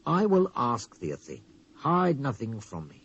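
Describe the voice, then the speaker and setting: A man's voice: mid-range voice, wildly swooping pitch, unhurried, fairly bright tone, husky, full character voice. Man acting wavering British king, muffled recording. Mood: secretive, grave. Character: uneasy, wavering male king of ancient Judah. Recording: dull lo-fi old cassette transfer.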